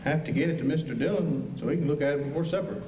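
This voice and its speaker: A man's voice: on the high side, racing, darkish tone, natural voice. An American man playing an earnest, flustered frontier deputy in a Western radio play, folksy, hesitant and polite as he stammers along. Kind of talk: stage performance of old-time Western radio drama. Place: high school auditorium stage. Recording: echoey auditorium, distant mic, music behind.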